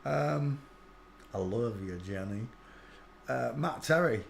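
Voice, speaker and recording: A man hosting his own channel, giving brash, scoffing commentary over video clips, with quick asides. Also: medium pitch, expressive, creaky, a little hiss or hum.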